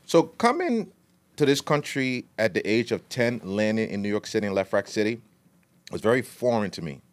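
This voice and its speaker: Middle-aged man, low voice, casual long-winded storyteller, slangy podcast guest. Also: big pitch swings, moderate pace. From Liberia. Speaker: guest, food entrepreneur.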